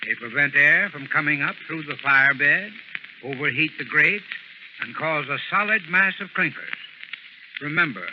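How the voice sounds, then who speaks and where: A man giving formal, instructive scripted advice as a sponsor's expert on old-time radio. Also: a little high. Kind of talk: scripted 1930s-40s radio advertising talk. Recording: dull vintage radio recording, some noise.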